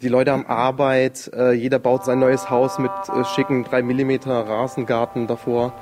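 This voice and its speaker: A man of middling pitch gives his opinion in spontaneous interview talk. Guitar music plays clearly behind his voice. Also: little pitch movement, normal speed.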